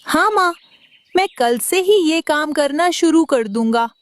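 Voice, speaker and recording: A dutiful little boy bird voiced by a woman for a children's cartoon. The voice is high and childlike, with slow, earnest delivery and a dry, close sound. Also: lively intonation, dry recording. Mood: eager. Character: young boy bird, dutiful, worried son.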